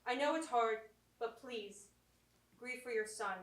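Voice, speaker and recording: Woman acting a high-voiced, bitter grieving mother. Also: measured pace, far from the mic, a little hiss or hum, natural voice. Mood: sorrowful. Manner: sarcastic, accusatory, dramatic delivery. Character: grieving, bitter mother lashing out at her husband. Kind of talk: staged reading of a stage play. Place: small theatre, live stage performance. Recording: echoey theatre, picked up from afar.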